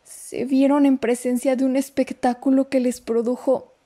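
A woman playing a jittery young waitress, high but mellow voice, dramatic telling. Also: flat delivery, moderate pace. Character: nervous young female café waitress. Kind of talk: amateur radio drama acting.

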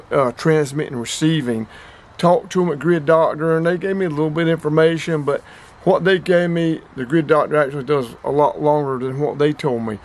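Plain-spoken man in his fifties. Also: fairly high pitch. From North Carolina. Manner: plain-spoken, rambling, repeats himself. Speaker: outdoors and radio channel host. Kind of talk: casual product demo vlog. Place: small storage room with shelves, indoors.

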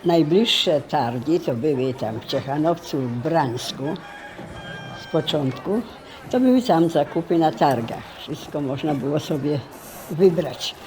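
A woman's voice: sits low, expressive, measured pace, background noise. An older woman, sixty or over, casually recalling the past. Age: 60s or older. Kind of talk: casual reminiscence.

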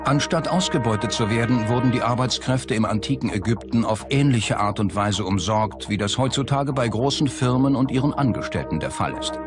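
A man's voice: mid-range voice, expressive, fairly quick, hardly any pauses. Male documentary narrator over prominent music. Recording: music bed under the voice. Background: background music.

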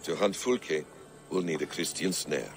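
An actor playing a shrewd, brooding warrior-scholar in a video game, speaking in a measured, sardonic, probing way. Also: male voice, extremely low pitch, vocal fry, faint music behind, full character voice. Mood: scheming. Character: wry, shrewd, brooding male warrior-scholar, a sly schemer. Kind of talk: video game cutscene acting.

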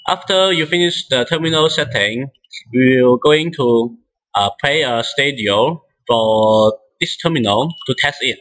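Give this to a man with a bright, forward voice and a middling pitch. He is a non-native English speaker walking through software step by step. His phrasing is halting, and he often restarts. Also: low-quality recording. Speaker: instructor demonstrating software.

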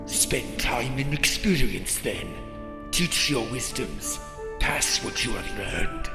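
A man portrays a gentle, wise alien adviser in a space serial. A medium voice gives terse, philosophical phrases in long reverb. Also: mid-range voice, animated, takes it slowly. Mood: wise, calm. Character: wise, gentle alien counsellor with clipped syntax. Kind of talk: space adventure radio drama acting. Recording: echoing reverb with loud music.

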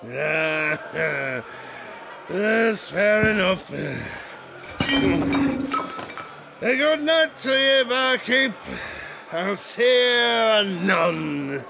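An actor playing a rambling drunken sailor in an audio drama, with slurred, drawling speech. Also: male voice, sits high, animated, very slow, heavily acted. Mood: tipsy, jovial. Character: rambling drunken male sailor, tavern storyteller. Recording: clear background noise.

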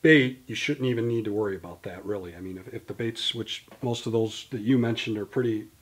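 A man's voice: fairly low pitch, brisk, breathy edge. A man in his fifties, a guest from a tackle company, explaining fishing gear in detail in a studio interview. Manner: detailed, explanatory, gesturing with products in hand. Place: indoor studio in front of a banner.

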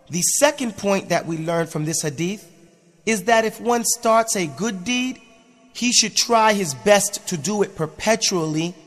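High-voiced male narrator in long reverb. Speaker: commentary narrator. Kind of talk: scripted explanatory narration.

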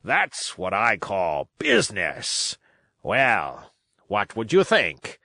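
A man's voice: fairly low pitch, huge pitch swings, slow, vocal fry, bright, forceful tone, no echo, studio quality, exaggerated voice. A man reading a storybook, voicing a shrewd, domineering pirate sea cook with salty, emphatic sailor talk. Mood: sly, grave.

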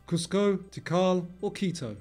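A man reading scripted quiz questions and answers in a measured way, as the narrator of a trivia video. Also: high-pitched, lively intonation, darkish tone, faint music behind.

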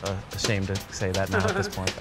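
Reflective chef. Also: male voice, low-pitched, sing-song. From born in Colombia, raised in Queens, New York. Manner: reflective, halting, many restarts and "you know" fillers. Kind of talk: documentary interview. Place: restaurant dining room. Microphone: off-camera interview mic, seated close framing. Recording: music bed under the voice.

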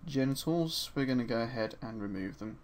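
A man with a slightly hoarse voice from a sore throat narrates a screen-recorded 3D sculpting tutorial in a relaxed, chatty way, with frequent ums and uhs. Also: medium pitch, a little fast, a little hiss or hum. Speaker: 3D art instructor. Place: at his desk, voice-over for a screen recording.

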